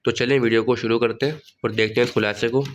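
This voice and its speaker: A hoarse man with a sore throat walking students through a study guide in a relaxed way, often saying "theek hai". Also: mid-range voice, quick pace, a little hiss or hum. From Pakistan. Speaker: online tutor. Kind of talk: casual study-guide walkthrough.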